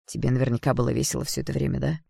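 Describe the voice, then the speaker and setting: Man acting hoarse, vengeful young man, creaky snarl. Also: mid-range voice, level tone, rapid-fire, mellow, no echo, clean studio sound. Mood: bitter, mocking. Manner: snarling, mocking, menacing. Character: vengeful young man, anguished, bitter and threatening.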